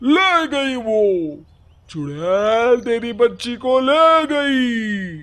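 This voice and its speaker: A man voices an ominous cartoon holy man of the village, a sham mystic. His pitch is high, and he drags out every theatrical warning very slowly. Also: very animated, fairly bright tone, heavily acted.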